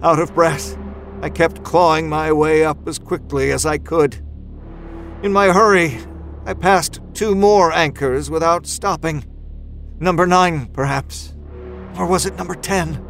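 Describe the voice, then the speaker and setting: A desperate climber narrating his own horror story. His voice sits fairly high, and he tells it intensely and theatrically, worn out but driven. A musical score plays underneath. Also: steady tone, unhurried, vocal fry, fairly bright tone, faint music behind. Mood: fearful, urgent. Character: desperate, exhausted man climbing out of an ice crevasse. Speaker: audio fiction narrator. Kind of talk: dramatic first-person horror story narration.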